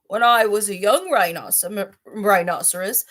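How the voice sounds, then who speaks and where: Woman acting gruff, grumbling old storybook rhinoceros. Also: a little slow, fairly bright tone. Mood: self-pitying. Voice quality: deep, gruff. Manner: grumbling, lamenting. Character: angry, self-pitying old male rhinoceros, vain and weepy. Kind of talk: children's storybook read aloud.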